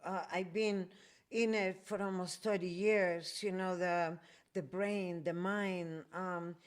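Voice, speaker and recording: A woman raised in Chile and Peru, hosting a podcast in a reflective way and often pausing to find her words. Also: fairly low pitch, fairly even intonation. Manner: slow, reflective, searching for words. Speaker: podcast host. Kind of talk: conversational interview.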